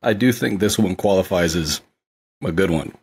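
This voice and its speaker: A man's voice: low voice, normal speed, a little hiss or hum. An American man in casual podcast chat as a co-host, agreeable, informal and enthusiastic.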